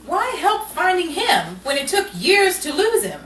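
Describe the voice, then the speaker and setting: A woman's voice: extremely high pitch, sing-song, a little slow, heavily acted. A woman acting a put-upon, sarcastic, wisecracking friend in a 1940s-style radio comedy. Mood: teasing sarcasm. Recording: roomy, distant mic, noisy amateur recording.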